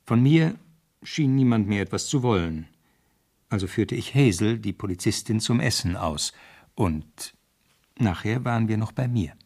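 Lowish husky male voice, young detective, matter-of-fact first-person narration, radio crime drama. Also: expressive, normal speed, darkish tone.

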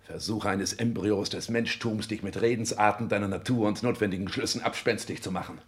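Man playing arrogant self-made son, lowish pitch, declamatory, cold, imperious, 1960s radio drama. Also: steady tone, brisk, lightly acted. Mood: brooding. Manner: declamatory, haughty, clipped commands.

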